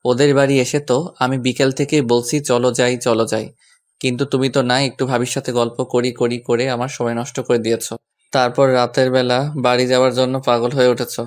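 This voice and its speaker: A man playing an exasperated young husband, even-toned. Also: mid-range voice, normal speed, close to an everyday voice. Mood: exasperated, scolding. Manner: scolding, sarcastic. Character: exasperated young husband, bossy yet fond. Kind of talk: romantic audio story acting.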